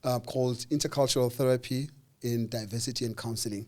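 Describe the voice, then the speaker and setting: A man with a South African accent telling a personal story in a casual interview, acting out the people in it and pausing on fillers. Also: mid-range voice. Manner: animated, acts out dialogue with voices, hesitant fillers. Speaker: interview guest.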